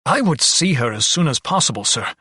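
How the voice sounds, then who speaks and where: A man's voice: medium pitch, moderate pace, a bit bright, dry recording, close to an everyday voice. A man voicing an earnest, protective young doctor in an audiobook, urgent, easily agitated and formally polite. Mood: tense insistence.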